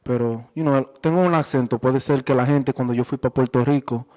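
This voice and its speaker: A young man being interviewed about his boxing life, talking in a relaxed, halting way with many fillers. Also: mid-range voice. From Worcester, Massachusetts area. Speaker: boxer, interview guest. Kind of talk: casual interview chat. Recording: dull lo-fi audio in a reverberant room.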